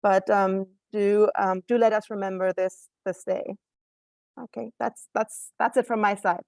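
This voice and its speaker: A woman's voice: mid-range voice, flat delivery, measured pace, darkish tone, dry recording, clean studio sound. Earnest woman with a German accent. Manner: earnest, with frequent hesitations. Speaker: council member. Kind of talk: meeting remarks. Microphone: video call.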